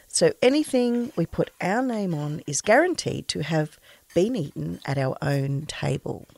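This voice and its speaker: A woman's voice: low-pitched, a little mellow, no room sound. An Australian woman hosting a podcast, presenting and interviewing into a close microphone. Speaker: podcast host. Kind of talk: scripted introduction and friendly interview.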